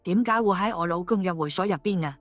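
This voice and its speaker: A woman's voice: lowish voice, quick pace, no room sound, lightly acted. A woman playing a fierce, domineering policewoman in an audio story, commanding and confrontational. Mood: stern interrogation. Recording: music under the voice.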